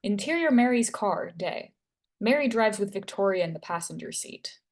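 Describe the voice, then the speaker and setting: A woman's voice: medium pitch, lively intonation, moderate pace. A woman reading stage directions and scene headings as narrator at a screenplay table read.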